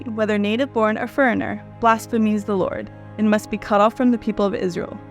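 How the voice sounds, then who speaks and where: An adult woman speaks in a mid-range voice. She is a guest reader, and music runs behind her words. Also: mid-range voice.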